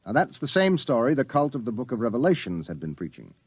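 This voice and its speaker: An actor playing a brash, persistent newspaper reporter in a radio drama, plain-spoken and insistent. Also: male voice, medium pitch, sing-song, fast, husky, no echo.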